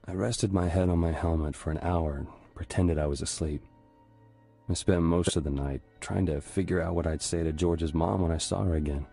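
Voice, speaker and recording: Weary American paratrooper narrator, war game. Deep, rough-edged, somber, reflective, measured. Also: male voice, steady tone, mellow, soft background music. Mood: grieving. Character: weary young American paratrooper squad leader, haunted and reflective. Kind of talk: scripted first-person war narration.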